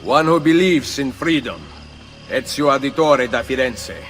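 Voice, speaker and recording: A stern Italian nobleman assassin for a video game. He gives short orders in an Italian accent at an ordinary male pitch. Faint music plays underneath. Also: lively intonation, unhurried, a bit bright. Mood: guarded, resolute. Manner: curt, commanding.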